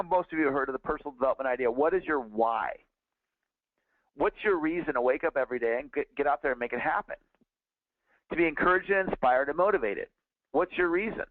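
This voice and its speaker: A man's voice: medium pitch, no echo. A man in his forties, a sales trainer, teaching on a call in an earnest, conversational way with frequent restarts. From California. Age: 40s. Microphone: phone. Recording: tinny dry phone line.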